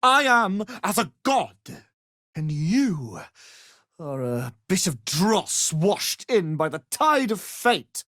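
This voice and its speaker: A man's voice: high-pitched, sing-song, slow, bright, forceful tone, no echo, clean studio sound, heavily acted. A man playing a haughty, scheming wizard in a fantasy video game, with a pompous, theatrical, condescending manner. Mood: indignant, threatening. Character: haughty, scheming adult male wizard, vain and menacing.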